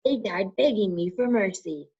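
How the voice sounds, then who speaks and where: A woman voicing a cruel, menacing male dark wizard who sneers and threatens in a strained, raised voice, read as a storybook. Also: mid-range voice, moderate pace, breathy edge, pitched above the performer's own voice, lightly acted. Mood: taunting. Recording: muffled lo-fi recording.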